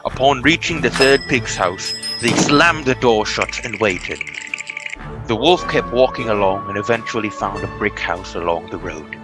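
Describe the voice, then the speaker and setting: A man's voice: on the low side, moderate pace, rough recording. A man narrating a storybook tale from a script. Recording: music under the voice.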